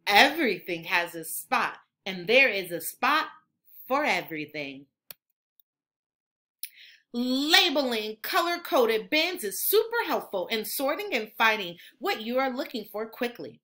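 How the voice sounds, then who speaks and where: A woman reading a children's book aloud in an expressive, instructional way, like a teacher. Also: a little high, unhurried. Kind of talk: animated children's read-aloud.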